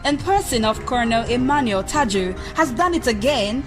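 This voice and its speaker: Actress as formal Nigerian newsreader, fairly high voice, scripted bulletin delivery, music behind. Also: female voice, expressive, moderate pace, natural voice. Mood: formal, announcing. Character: formal female television newsreader. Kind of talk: scripted news reading in a radio drama.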